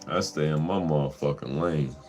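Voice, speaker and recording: A man with a deep voice, hosting a livestream in casual chat, laid-back and profane, laughing often. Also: normal speed, darkish tone, soft background music. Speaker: livestream host.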